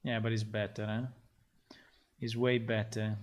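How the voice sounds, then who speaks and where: Slow, halting man thinking aloud. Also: on the low side, little pitch movement. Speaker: game developer streaming his work. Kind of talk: casual live-stream talk while working.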